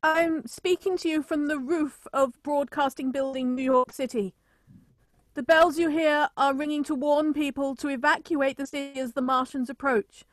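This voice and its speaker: A woman playing a grave, solemn radio reporter giving a deliberate eyewitness account in a radio drama. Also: high voice, steady tone, dry recording, lightly acted. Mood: grave, resigned. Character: grave radio reporter narrating a city's destruction from a rooftop. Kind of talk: radio drama acting, live news report.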